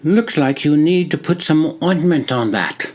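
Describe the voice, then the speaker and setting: A man voicing a friendly young male army recruit who talks in a crisp, British-sounding, courteous way. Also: fairly high pitch, lively intonation, unhurried, darkish tone, pitched above the performer's own voice. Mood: genial.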